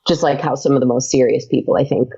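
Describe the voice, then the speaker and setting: A woman with a gravelly, creaky voice chats casually as a podcast guest, stumbling over fillers and restarting her sentences. The recording sounds a little muffled and lo-fi. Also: a bass voice, steady tone, fast, dark tone. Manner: chatty, frequent fillers and restarts. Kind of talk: casual interview chat.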